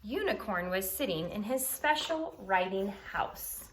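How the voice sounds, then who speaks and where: A woman's voice: mid-range voice, lively intonation, a little slow, slight background noise. Woman teacher explaining and reading aloud. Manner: explanatory, repeats key points simply. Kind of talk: explaining an assignment and reading aloud to young pupils.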